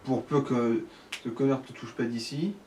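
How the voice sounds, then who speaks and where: A man with a dark, relaxed voice chats casually alongside a gamer. He explains what is coming in an offhand way, with faint noise in the background. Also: mid-range voice, normal speed. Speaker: co-commentator advising the player. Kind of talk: casual chat during gameplay.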